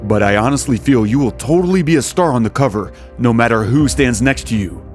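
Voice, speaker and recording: An actor as a protective billionaire husband in a romantic audio drama. His mid-pitched male voice is assured and intimate. Soft music sits behind him. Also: expressive, brisk, natural voice. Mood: tender, assured. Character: devoted, commanding billionaire husband, protective and calm.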